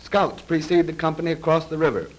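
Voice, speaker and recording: A man reads scripted narration for a 1930s military training film in a formal, measured, precise manner, on a vintage film soundtrack. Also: on the high side, poor audio. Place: voice-over recorded for a film.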